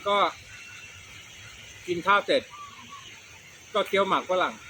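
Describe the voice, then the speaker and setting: A man's voice: high voice, lively intonation, takes it slowly, background noise. A middle-aged man, telling comic stories casually as a show host, chatty, repeating words and using crude slang. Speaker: host of a comedy storytelling show.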